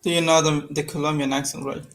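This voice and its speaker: A man whose first language is Spanish, practicing English on a video call, speaking haltingly as he searches for words. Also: fairly high pitch, level tone, a bit bright. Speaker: English learner. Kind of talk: casual conversation practice.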